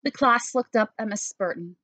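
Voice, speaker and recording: A woman's voice: medium pitch, a little fast, bright, forceful tone, no room sound. A woman narrating a children's picture book expressively, like a teacher reading aloud.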